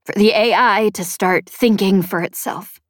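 Woman playing Chet, a measured, knowing man. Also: medium pitch, dry recording, clean studio sound, lightly acted. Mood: pained, hoarse. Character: Chet, earnest male guide, mysterious and knowing.

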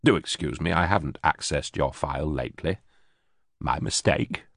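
A man's voice: extremely low pitch, moderate pace, gravelly, fairly bright tone, dry recording, clean studio sound, exaggerated voice. A man voicing a frightened, bitter former official in an audiobook, pleading and stammering nervously. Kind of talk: audiobook character voice.

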